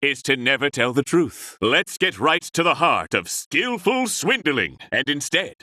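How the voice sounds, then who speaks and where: A man's voice: medium pitch, very animated, a little slow, bright, forceful tone, no room sound, clean studio sound, heavily acted. An actor playing a smug, grandiose con man who lectures in florid showman's patter for a video game. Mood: showy, grand. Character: smug, theatrical swindler teaching a seminar in deception. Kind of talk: video game character acting.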